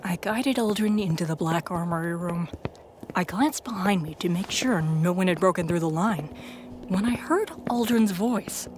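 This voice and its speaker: A woman's voice: fairly low pitch, normal speed, darkish tone. A woman reading scripted first-person narration for a fiction audio drama. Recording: clear background noise and ambience.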